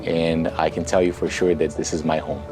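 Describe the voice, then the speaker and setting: A man's voice: a bass voice, little pitch movement, brisk. A man in his thirties with a Brazilian accent, speaking English as a second language in an earnest, reflective personal testimonial. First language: Portuguese. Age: 30s. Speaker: author and entrepreneur promoting a book. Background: music.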